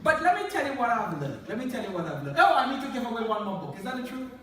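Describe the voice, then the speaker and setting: A man's voice: very high-pitched, quick pace. A man with a Trinidadian accent gives a humorous talk to a live audience, laughing often and telling stories with lots of animation. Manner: laughs often, animated storytelling, asks the crowd. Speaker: motivational speaker. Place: large hall in front of an audience. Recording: echoey hall, voice from far off.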